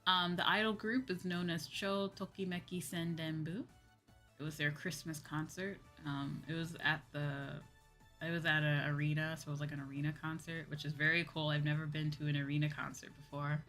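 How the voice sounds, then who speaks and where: A woman's voice: low-pitched, little pitch movement, normal speed, a bit bright. A woman hosting a livestream as a VTuber, talking casually in a chatty, giggly way. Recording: background music behind voice. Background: music.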